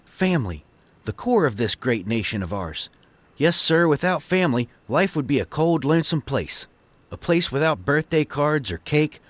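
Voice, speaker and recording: A man's voice: on the high side, very animated, normal speed, no echo. A man playing an earnest, dim-witted young country fellow, polite and pompous, in a comedic audio drama. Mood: grandiose, rousing.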